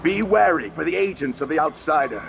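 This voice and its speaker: An actor playing a zealous religious guard in a video game, stern, preaching and forceful. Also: male voice, a little high, expressive, brisk, creaky, slight background noise. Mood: fervent warning. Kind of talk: dramatic video game acting.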